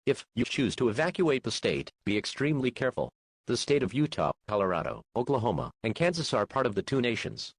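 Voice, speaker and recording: A man acting as a stern official emergency announcer, quick and formal. His voice sits at a middle pitch and is recorded close and dry as he gives scripted instructions. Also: big pitch swings, husky, dry recording. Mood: stern, urgent.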